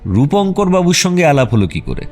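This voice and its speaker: A man playing a composed, detached private detective who talks in a measured way in an audio drama. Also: a little high, sing-song, darkish tone. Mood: polite questioning. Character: calm, methodical male private detective. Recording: faint music behind the voice.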